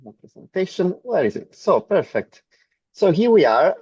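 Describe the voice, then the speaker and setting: A man's voice: sits high, very animated, moderate pace, darkish tone, breathy edge, dry recording. A man with an Italian accent, a medical oncologist lecturing over a video call, hesitant with frequent fillers and restarts. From Italy. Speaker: medical oncologist presenting a webinar. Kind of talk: academic webinar lecture. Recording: dull lo-fi call audio.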